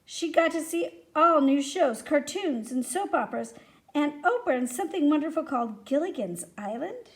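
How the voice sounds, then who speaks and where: High-voiced woman reading to children. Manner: animated, addresses young listeners with questions. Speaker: storyteller reading a children's book aloud. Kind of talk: expressive read-aloud for children.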